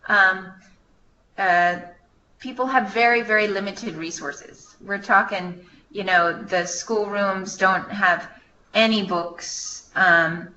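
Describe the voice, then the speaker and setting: A woman's voice: medium pitch, takes it slowly, bright, forceful tone. A woman staff member informally answering questions on a conference call, explaining in a hesitant way as she thinks aloud. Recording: muffled, noisy conference call line.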